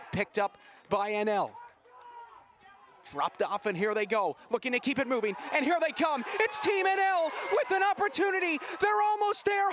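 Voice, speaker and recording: A man doing live, excitable play-by-play commentary on a rugby sevens match. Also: extremely high pitch, slight background noise. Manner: excitable, builds to shouts at big plays.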